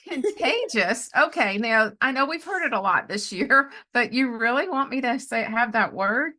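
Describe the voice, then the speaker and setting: A middle-aged woman chatting casually as a podcast host, telling personal stories of faith in an animated way and often acting out what people said. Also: a little high, normal speed. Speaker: podcast host and life coach. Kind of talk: casual conversational storytelling with faith testimony.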